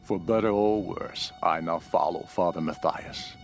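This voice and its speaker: Slow, grim male castaway cultist. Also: sits low, creaky. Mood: grim, reverent. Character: grim, awed castaway turned devoted cult follower. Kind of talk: dramatic journal narration. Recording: music behind the voice.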